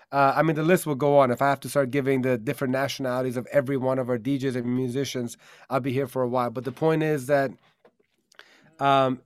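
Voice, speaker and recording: Guy hosting a live stream, steady flat delivery, dry close sound, lots of ums. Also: mid-range voice, dry recording. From born in Germany, raised in America. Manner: upbeat, earnest, many fillers. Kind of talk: casual promotional live-stream talk. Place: video call.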